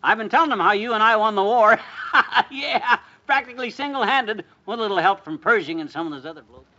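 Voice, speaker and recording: High-pitched, excitable American radio husband. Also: male voice, fairly quick, exaggerated voice. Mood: excited, boastful. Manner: folksy, blustering. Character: excitable, boastful husband, a First World War veteran. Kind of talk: 1950s radio comedy acting. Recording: muffled vintage radio recording.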